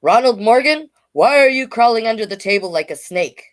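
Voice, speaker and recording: A woman's voice: fairly high pitch, lively intonation, brisk, pitched above the performer's own voice, close to an everyday voice. A woman voicing a stern female schoolteacher who scolds pupils in a children's storybook. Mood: stern scolding. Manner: scolding, exclaiming.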